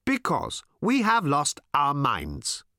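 A storyteller voicing a naive, earnest space alien who speaks in stilted, literal, drawn-out phrases. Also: mid-range voice, wildly swooping pitch, bright, forceful tone, dry recording, clean studio sound, voice pushed up, exaggerated voice. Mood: blank, solemn.

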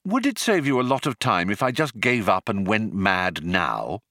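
A man plays a stunned, incredulous ordinary Earthman in an audiobook. He speaks haltingly and plaintively, with pitch that leaps about, in a very dry close recording. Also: big pitch swings, pushed and bright, dry recording. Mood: exasperated. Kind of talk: audiobook character voice.

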